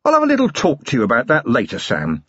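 A man voicing a gruff middle-aged police commander who grumbles dryly. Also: medium pitch, huge pitch swings, quick pace, fairly bright tone, dry recording, highs cut off, heavily acted. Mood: wry. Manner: dry, grumbling and wry.

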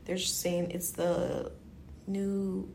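A woman from Guyana with a creaky, slightly low voice chats casually as a podcast co-host. Her talk is informal and conversational. A little noise is audible behind her. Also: little pitch movement, drawn-out words, darkish tone. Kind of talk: casual chat.

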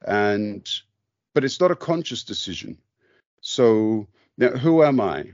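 A man from the UK, talking thoughtfully on a podcast, pausing and restarting often. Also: fairly low pitch, lively intonation, a little mellow, no room sound, muffled recording. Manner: thoughtful, hesitant, many restarts. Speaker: podcast host. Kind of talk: reflective conversation.

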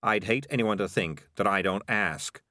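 A man's voice: fairly low pitch, moderate pace, sharp tone, no echo, clean studio sound. A man voicing a self-important, sulky male talking animal, pedantic and defensive, in an audiobook story.

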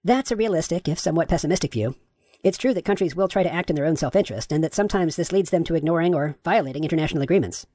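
A man reading the lines of a courteous, gender-neutral AI chatbot, with his voice pitch-shifted upward. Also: very fast, a little mellow, no echo. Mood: polite, agreeable. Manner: courteous, affirming, asks follow-up questions. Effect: pitch raised.

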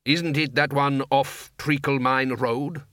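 Man playing a cheery dwarf gardener, low and soft, dry close sound. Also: steady tone, takes it slowly, no room sound. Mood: cheerful. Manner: friendly, homely.

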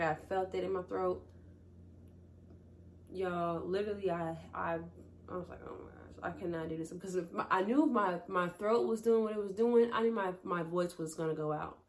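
A woman's voice: on the low side, moderate pace, slight background noise. A young woman hosting her own podcast, talking casually to her listeners and calling them y'all and baby, still getting over a cold. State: recovering from a cold. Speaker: podcast host. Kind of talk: casual solo talk to listeners.

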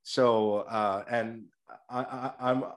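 A man from Canada hosting a casual interview, speaking haltingly with many fillers and restarts. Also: medium pitch, steady tone. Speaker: podcast host.

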